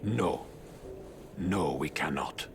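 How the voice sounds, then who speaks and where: Casting: elderly mutant sensei for a cartoon game, very low, grave, formal, over noisy ambience. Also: male voice, lively intonation, very slow, full character voice. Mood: grave, worried. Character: wise old male mutant rat master, cautious father. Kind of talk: cartoon video game acting.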